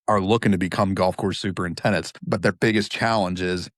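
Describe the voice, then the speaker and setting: A man in his late thirties hosts the conversation in a friendly, upbeat and casual way. His voice sits somewhat low, and the sound is dry. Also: steady tone, a little fast, no echo. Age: 30s. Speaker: podcast host. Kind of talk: podcast interview conversation.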